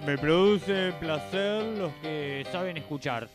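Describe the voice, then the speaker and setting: Slow-talking Argentine man over guitar music. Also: a little high. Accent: Rioplatense Argentine Spanish. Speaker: radio show participant. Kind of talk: playful radio sketch banter.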